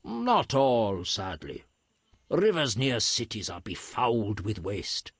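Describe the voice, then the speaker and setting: A man playing a serene, courteous druid in a video game, gentle, formal and unhurried. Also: fairly low pitch, very animated, breathy edge, no room sound, studio quality, heavily acted. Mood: grave, regretful. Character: serene, courteous male druid elder, lover of nature. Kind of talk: video game dialogue acting.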